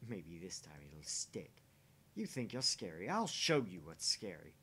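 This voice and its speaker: A man voicing a gruff, sardonic young man in dialogue read aloud from a visual novel. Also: sits low, very animated, measured pace, fairly bright tone, voice pushed down, heavily acted. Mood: sardonic, challenging.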